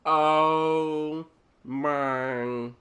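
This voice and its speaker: A man's voice: fairly high pitch, steady tone, extremely slow, fairly bright tone. A man streaming a game, reacting casually in slangy commentary. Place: streaming over game audio.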